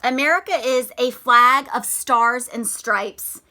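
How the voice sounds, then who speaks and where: Woman, elementary teacher, high-pitched, unhurried, expressive read-aloud for children. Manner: deliberate, expressive, explains with questions to children. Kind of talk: read-aloud picture book lesson for children.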